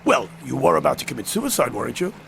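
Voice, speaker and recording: An actor playing a sly, courtly older stranger in a radio drama, with a teasing, ornately polite manner. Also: male voice, medium pitch, very animated, fast, vocal fry, fairly bright tone, slight background noise, full character voice. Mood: amused, teasing.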